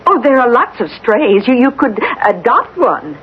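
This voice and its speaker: An actress playing an obliging, matter-of-fact middle-aged housekeeper in a radio drama, speaking helpfully and a little haltingly. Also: female voice, fairly high pitch, sing-song, slightly rough, exaggerated voice. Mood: helpful, chatty. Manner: helpful, halting when taken aback. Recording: dull, noisy vintage radio recording.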